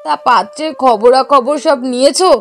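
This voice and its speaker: A woman playing a scheming, sharp-tongued middle-aged mother and wife in a moral-story cartoon, scolding and arguing. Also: sits high, normal speed. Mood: bossy, indignant. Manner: bossy, scolding, argumentative.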